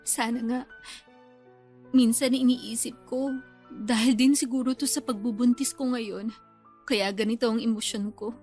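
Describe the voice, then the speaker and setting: A woman's voice: on the high side, fairly even intonation, moderate pace, pauses often, a little mellow, natural voice. A woman acting an anxious, hopeful young bride-to-be, confiding emotionally in a Filipino radio drama. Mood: worried. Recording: music bed under the voice.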